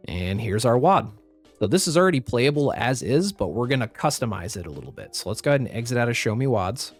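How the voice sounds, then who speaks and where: A man with a slightly raspy voice, recovering from the flu, giving a step-by-step software tutorial as a voice-over. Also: mid-range voice, very animated, fast, soft background music. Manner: step-by-step instructive, plain and practical. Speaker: tutorial host. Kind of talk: screen-recorded software tutorial.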